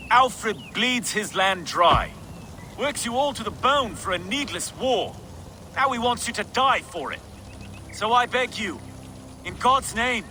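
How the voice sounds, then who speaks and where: An actor playing an earnest Saxon town official with an oratorical manner in a video game. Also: male voice, very high voice, bright, forceful tone, slight background noise, heavily acted. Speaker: town reeve. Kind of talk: dramatic video game acting.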